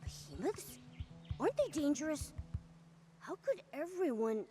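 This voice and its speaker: A woman voicing a cute, curious, chatty small furry animal companion in a video game, with a childlike, expressive delivery. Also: sits high, a little slow. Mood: curious, concerned. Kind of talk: voiced video game cutscene dialogue. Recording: loud game music underneath.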